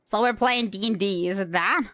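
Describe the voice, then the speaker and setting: A woman's voice: mid-range voice, moderate pace, pushed and bright. A woman livestreamer giving casual, wry commentary on a video game, thinking aloud, with game music behind her.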